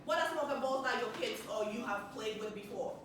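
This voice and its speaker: A cheerful woman with a Ghanaian accent runs a small workshop, laughing often and drawing the audience in with questions. Her voice sits high. She is caught from a distance in a very echoey room, with steady noise behind her. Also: brisk. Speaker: workshop speaker. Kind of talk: interactive stress-relief workshop. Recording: echoey room, mic far off, background noise.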